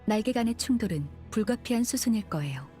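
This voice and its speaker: Actress as composed female strategist, soft dark tone, very quick polite delivery, game story role. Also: fairly high pitch, animated, faint music behind, lightly acted. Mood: calm, measured. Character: composed, analytical woman weighing strategy in polite speech. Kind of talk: Korean game voice acting.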